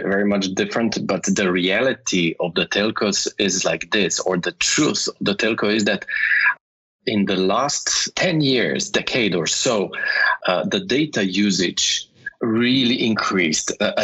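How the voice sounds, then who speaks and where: Man from Slovenia, rough husky timbre, hesitant interview talk, muffled remote sound. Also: lowish voice, measured pace, a bit bright. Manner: hesitant, many fillers and restarts. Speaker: guest, telecom industry manager. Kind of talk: conversational interview.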